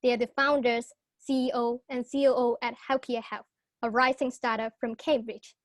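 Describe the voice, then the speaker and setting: A woman's voice: fairly high pitch, flat delivery, normal speed, no room sound. A young woman co-hosting a podcast interview, asking friendly, casual questions through wired earphones on a video call. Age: young adult. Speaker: podcast co-host. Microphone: wired earphone mic on video call.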